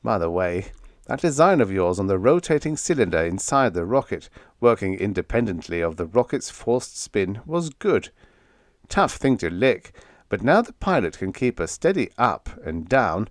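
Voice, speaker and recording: Rocket company chief, played by a male reader: persuasive, rallying, lively pitch movement, sci-fi audiobook. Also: normal speed, no room sound, studio quality. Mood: warm approval. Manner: explanatory, rallying speechmaking. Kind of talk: audiobook character dialogue.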